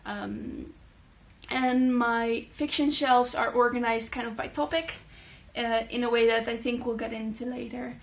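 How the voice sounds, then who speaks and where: A young Dutch woman, a native Dutch speaker, chatting casually in a vlog with many fillers and thoughtful pauses. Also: on the high side, fairly even intonation, vocal fry. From Netherlands. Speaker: book channel host. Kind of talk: casual talking-head vlog.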